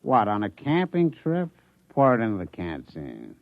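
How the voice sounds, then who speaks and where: A man's voice: fairly low pitch, huge pitch swings, moderate pace, dry recording. An actor playing a lazy, hard-drinking American city man on vacation in a 1950s radio drama, sardonic and grumbling. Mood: lazy, wry. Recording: muffled 1950s radio recording.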